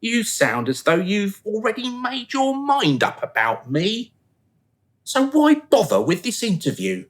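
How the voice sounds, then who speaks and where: A man playing an anxious, pleading dead man in a radio play, excitable and flustered. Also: high-pitched, measured pace, heavily acted. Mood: apologetic.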